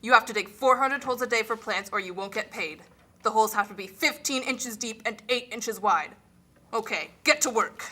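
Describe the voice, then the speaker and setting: A woman voices a callous authority figure in a puppet play. The voice is fairly high, bright and forceful. She gives orders briskly and without sympathy. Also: slight background noise. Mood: stern. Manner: brisk, commanding. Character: bossy, callous official and plantation overseer. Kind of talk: amateur political puppet show.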